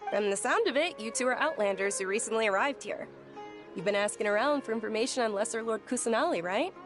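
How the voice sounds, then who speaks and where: An actress playing a shy, gentle young woman who tells an old legend, speaking softly and hesitantly, with game music behind. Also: a little high, quick pace, natural voice. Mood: polite. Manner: soft, hesitant, stammers when nervous. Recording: game audio with background music.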